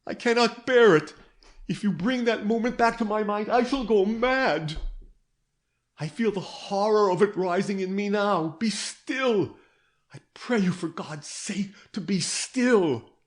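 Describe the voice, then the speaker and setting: A tormented young woman in a storybook mystery, her voice pitched high and cracking with dread, as a male reader puts her on. She pleads and cries out in breathless bursts, loud and shaken, drawn out slowly. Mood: anguished. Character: distraught young woman, frantic and ashamed.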